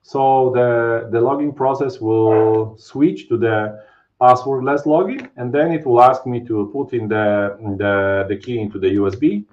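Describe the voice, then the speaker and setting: A man's voice: mid-range voice, a little slow. A man in his thirties with a Polish accent, presenting a live technical webinar from home over a webcam, explaining casually with many fillers and tag questions. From Poland. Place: home office, indoors. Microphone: webcam video call. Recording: dull, lo-fi stream audio.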